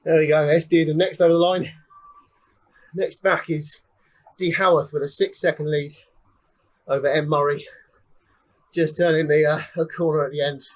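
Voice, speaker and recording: A man's voice: a little high, little pitch movement, moderate pace, long pauses, a little hiss or hum. A young man giving casual live commentary on a cycling race while riding, chatty and slightly out of breath. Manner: chatty, calling out finishers one by one. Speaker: ride leader and race commentator.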